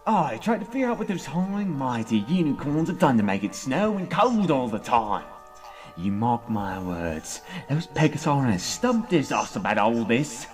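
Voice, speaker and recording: Folksy, animated pony farmer dad played by a man. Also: medium pitch, moderate pace, soft background music, natural voice. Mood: grumbling. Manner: folksy rural dialect grammar. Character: rustic earth pony father, opinionated farmer, tall-tale teller. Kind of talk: fan audio drama acting.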